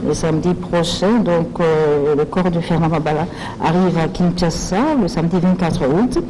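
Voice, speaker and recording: Halting TV host, noisy line. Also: male voice, fairly high pitch. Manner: halting, with many pauses and "euh" fillers. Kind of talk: hosting a studio interview show. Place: TV studio set. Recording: steady background noise.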